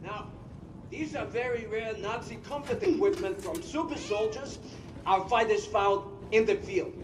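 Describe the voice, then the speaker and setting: An actor playing an eccentric, kindly elderly scientist in a video game, fussy and rambling, repeating himself. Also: male voice, high voice, a little hiss or hum. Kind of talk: dramatic video game acting. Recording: echoey hall, music behind.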